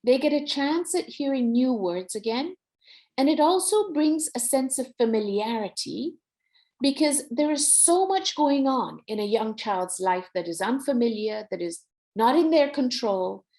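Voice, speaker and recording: A woman's voice: sits high, lively intonation, a little slow, darkish tone. A woman with a Nepali accent giving an encouraging, explanatory presentation to parents as a workshop presenter. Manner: encouraging, instructive, mixes Nepali phrases into English. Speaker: workshop presenter on early reading.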